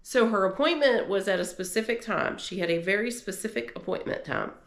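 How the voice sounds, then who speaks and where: Congested gravelly woman vlogging at home. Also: medium pitch, animated, a little fast, roomy sound. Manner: rambling, warm, encouraging, many fillers. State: congested from a cold. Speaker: homemaking vlogger. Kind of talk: casual chatty vlog. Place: home office.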